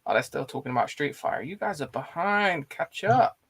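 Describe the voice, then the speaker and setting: A man's voice: a little high, lively intonation, fairly quick, fairly bright tone, breathy edge. A man, hosting a casual film chat and reading out live comments in an informal, blokey way. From Bristol, England. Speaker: host of a film discussion livestream.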